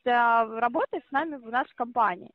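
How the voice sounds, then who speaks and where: A woman's voice: a little high, a little fast. A woman working as a call-center operator on a phone call, explaining at length and insisting on her point. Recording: muffled phone line.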